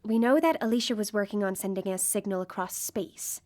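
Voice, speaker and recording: An actress playing an earnest, driven woman searching for a lost friend, with an intent, halting manner, in a live radio play. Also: medium pitch, darkish tone, natural voice. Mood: guarded, intent. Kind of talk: live radio drama acting.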